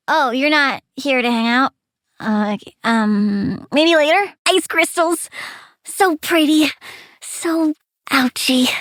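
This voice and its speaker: A woman's voice: high-pitched, a bit bright, dry recording, clean studio sound, full character voice. A woman voicing a childlike, sweet and quirky girl plant villain with gleeful menace, as a video game character. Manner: cutesy, childlike, matter-of-fact about menace.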